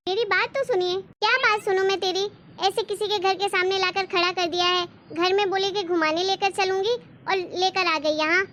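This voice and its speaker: A woman's voice: very high voice, bright, forceful tone, slight background noise. A woman voicing an emphatic, devoted female character in a Hindi cartoon family story. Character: excited, devoted young daughter and her protective mother.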